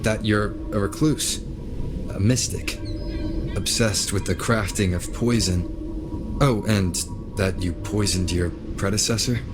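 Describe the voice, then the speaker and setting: A man voicing a reserved, level-headed young hero in a video game, speaking in a calm, understated, wry way. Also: lowish voice, slow pace, background noise. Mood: wry, curious. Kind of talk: video game voice acting, scripted dialogue. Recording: music behind the voice.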